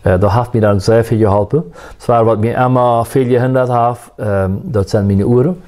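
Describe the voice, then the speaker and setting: A man, a musician, telling his life story in a soft-spoken, reflective and faith-centered way. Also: medium pitch, steady tone, moderate pace. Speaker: musician guest.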